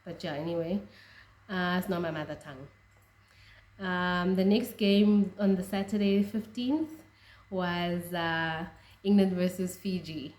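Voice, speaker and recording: A young South African woman whose first language is Tswana, chatting excitedly about rugby with frequent laughs and restarts. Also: on the low side, measured pace, reverberant. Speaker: rugby fan vlogger. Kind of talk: casual reaction chat.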